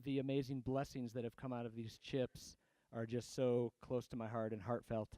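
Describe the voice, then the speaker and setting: A man's voice: mid-range voice, level tone, moderate pace, warm tone, no echo. A man hosting a reading event, introducing the next reader in a friendly, rambling way. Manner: friendly, rambling, with fillers and restarts. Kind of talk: casual emcee introductions.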